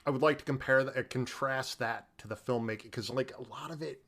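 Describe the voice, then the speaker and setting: A man with a mid-pitched voice talks as a podcast co-host in casual film discussion. His delivery is animated and halting, often breaking off into informal asides before picking the thread back up.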